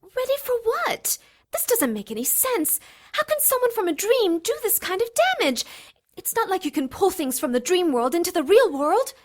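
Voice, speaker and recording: A woman's voice: extremely high pitch, big pitch swings, normal speed. A woman playing an anxious, protective mother in an audio drama, speaking in a hesitant, everyday way. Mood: anxious disbelief. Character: worried, protective mother of a young boy.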